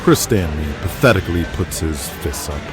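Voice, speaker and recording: A man's voice: low-pitched, expressive, normal speed. A man narrating a scripted audio drama as the storyteller. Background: music with guitar.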